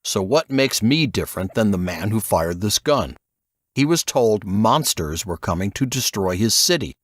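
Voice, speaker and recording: A grave, assured investigator from an audiobook voiced by a male narrator explains things in a measured, didactic way. His voice sits fairly low with varied intonation, in a dry recording. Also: expressive, no room sound. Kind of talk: audiobook character dialogue.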